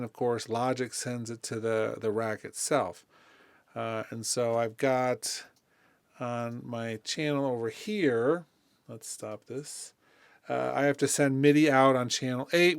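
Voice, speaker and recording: A man presenting a music production tutorial in a casual, informal way, thinking aloud as he demonstrates software. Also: mid-range voice, clean tone, dry recording, clean studio sound.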